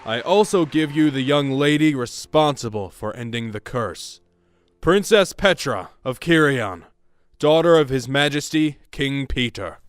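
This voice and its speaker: A man's voice: mid-range voice, animated, measured pace, no room sound, faint music behind, lightly acted. A man playing a proud, gracious king in an audio drama, speaking in a formal, proclaiming manner. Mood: proud, solemn. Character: proud, gracious fairy-tale king addressing his people.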